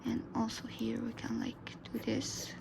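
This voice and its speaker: A woman whose first language is Arabic, narrating a drawing tutorial softly, often dropping into a whisper. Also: fairly high pitch, little pitch movement, a little slow, vocal fry. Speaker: art tutor.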